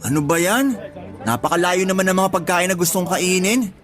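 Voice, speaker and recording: A fed-up young husband in a radio drama. His voice sits a bit high as he gripes in emphatic street-level speech about his pregnant partner. Faint music plays underneath. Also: normal speed, a bit bright. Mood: weary, questioning. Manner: complaining, colloquial, emphatic. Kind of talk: Tagalog radio drama acting.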